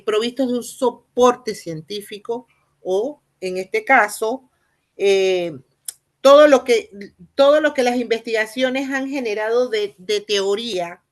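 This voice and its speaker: Hesitant woman lecturing on teaching. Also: medium pitch. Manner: halting, many "eh" fillers and restarts; explanatory, addresses listeners formally. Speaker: university lecturer. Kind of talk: spoken lecture.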